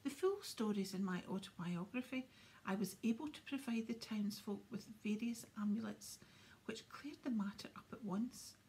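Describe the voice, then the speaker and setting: A woman's voice: mid-range voice, moderate pace, mellow, slight background noise, lightly acted, low-quality recording. A woman doing a pompous, boastful wizard. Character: boastful vain male wizard.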